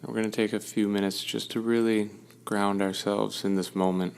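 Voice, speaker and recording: Low, gravelly man guiding meditation. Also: level tone, fairly quick, darkish tone. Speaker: meditation guide. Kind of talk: guided meditation, gentle instruction.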